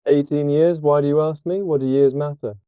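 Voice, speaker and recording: A man's voice: on the high side, fairly even intonation, a little fast, clear, resonant tone, no room sound, dull audio, voice pushed up, natural voice. A man voicing a vengeful, brutish sailor in an audiobook, with a threatening, commanding manner. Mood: harsh, urgent. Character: vengeful, brutish sailor demanding revenge for his sister. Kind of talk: dramatised audiobook character reading.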